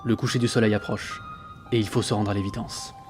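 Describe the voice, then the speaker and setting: A man playing a haunted, uneasy sailor telling his journal in a tense, measured way for an audio drama. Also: on the low side, level tone, close to an everyday voice. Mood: grave, uneasy. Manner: tense, suspenseful, measured storytelling. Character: haunted young male sailor keeping a journal at sea, uneasy, rational. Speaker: narrator and lead actor of an audio drama. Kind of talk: dramatic first-person narration, audio fiction. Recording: prominent music behind the voice. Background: dramatic music.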